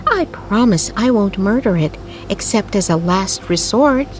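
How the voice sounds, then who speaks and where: Woman voicing Angela, determined young lady, clear background noise. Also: mid-range voice, lively intonation, measured pace, voice pushed up, close to an everyday voice. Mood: brightly insistent. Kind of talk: storybook character voice.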